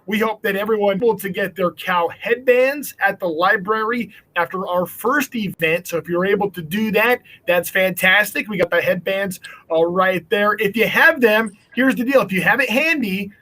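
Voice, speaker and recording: A man's voice: sits high, normal speed, sharp tone. A man with an American accent hosting a children's online event in an upbeat, chatty style. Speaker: event host.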